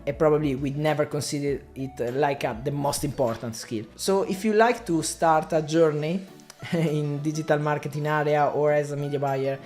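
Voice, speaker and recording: Italian man, halting second-language English. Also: on the high side, a little mellow, faint music behind. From Italy. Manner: halting non-native English with frequent restarts. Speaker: digital marketing media buyer. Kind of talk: casual talk to camera.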